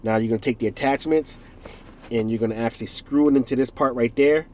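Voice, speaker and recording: A man's voice: mid-range voice, fast, dark tone, slight background noise. A man in his forties from the United States with a stuffed-up nose from a cold, casually reviewing a product in a rambling, self-correcting way with jokey asides. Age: 40s.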